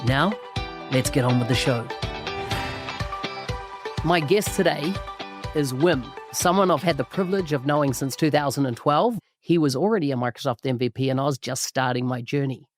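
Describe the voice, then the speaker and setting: A man hosting a podcast, enthusiastic and full of praise. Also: medium pitch. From New Zealand. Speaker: podcast host. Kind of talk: podcast interview.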